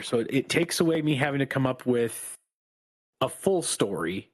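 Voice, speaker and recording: A man co-hosting a podcast, chatting casually in a calm, even-tempered voice that is not raspy. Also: medium pitch. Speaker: podcast co-host. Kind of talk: casual chat.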